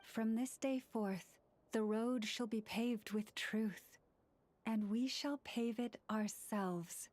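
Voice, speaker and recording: An actress voicing a calm, solemn priestess with antlers, speaking formally in game dialogue. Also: female voice, on the high side, slow pace, no room sound. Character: serene antlered female priestess, gentle and solemn. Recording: game audio with faint music behind.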